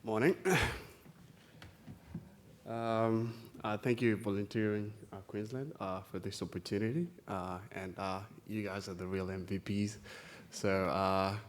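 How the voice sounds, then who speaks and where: A young man from South Sudan speaks as a guest at a live conference. His voice is deep and fairly flat in melody. He talks informally about himself, in a hesitant, halting way. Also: a little hiss or hum. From South Sudan, born in a Kenyan refugee camp. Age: 20s. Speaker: guest speaker, youth volunteer. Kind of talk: informal personal talk. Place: conference stage, live event.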